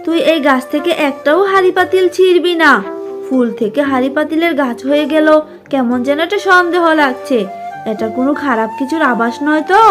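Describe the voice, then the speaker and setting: A woman plays a mother jackal in a cartoon, high-voiced and quick to scold. She sounds suspicious and protective. Faint music plays behind her. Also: natural voice. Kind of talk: cartoon voice acting.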